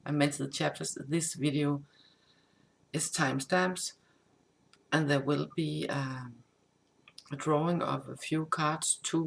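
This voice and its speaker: A woman whose first language is Danish, a tarot reader who gives a casual spoken update, halting and improvising with many restarts. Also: deep voice, gravelly, a little hiss or hum.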